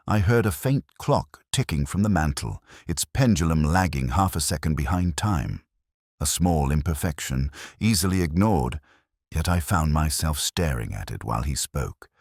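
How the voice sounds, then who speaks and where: A middle-aged man reading a scripted first-person detective story in a measured, brooding manner, with deliberate pauses. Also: very deep voice, darkish tone, slightly rough, dry recording, clean studio sound. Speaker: narrator.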